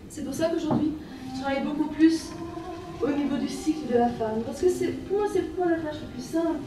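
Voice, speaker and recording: High-voiced French woman in an echoey hall. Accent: French from France. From France. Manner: gentle, lyrical, with repeated phrases. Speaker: workshop leader. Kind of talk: guided women's workshop talk. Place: workshop room at a festival. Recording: very echoey room, from far off, music behind.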